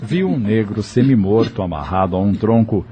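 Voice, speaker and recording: A man narrating an audio novel from a script in a measured storytelling manner. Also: fairly low pitch, muffled recording. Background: music.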